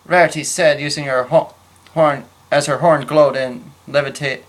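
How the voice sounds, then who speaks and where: A narrator reading a story aloud in a halting, stumbling way. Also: medium pitch, fairly even intonation. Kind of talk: amateur fan fiction read aloud, scripted narration.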